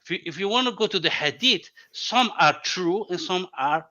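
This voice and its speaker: A man whose native tongue is Arabic debates over a video call in a high, sharp-edged voice. He stumbles and repeats words as he builds each point. The call audio is dull and lo-fi. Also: expressive, normal speed. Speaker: debate guest.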